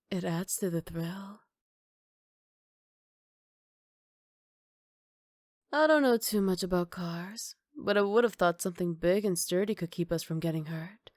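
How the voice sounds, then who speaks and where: A woman playing a smug, flirty captured villain in an ASMR roleplay, teasing and coy with breathy murmurs. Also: fairly low pitch, a little mellow, no room sound, studio quality, lightly acted. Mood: flirty, amused.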